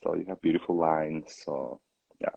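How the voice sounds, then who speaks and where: This is a Chilean man with a very low, husky voice and a Chilean Spanish accent. He talks casually as an interview guest about his work as an opera singer. The sound is close and dry, with hardly any room in it. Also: fairly even intonation, moderate pace, no echo, phone-quality sound.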